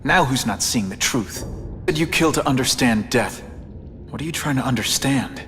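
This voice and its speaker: An actor voicing a young male wisecracking superhero in a video game cutscene, firm and confrontational with a quick, joking edge. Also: mid-range voice, lively intonation, over loud music, close to an everyday voice. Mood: stern.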